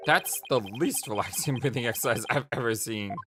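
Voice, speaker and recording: A woman's voice: rumbling low, normal speed. A woman hosting a let's play, making wry, amused asides with a stuffy nose. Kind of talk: casual gameplay commentary. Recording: game music behind the voice.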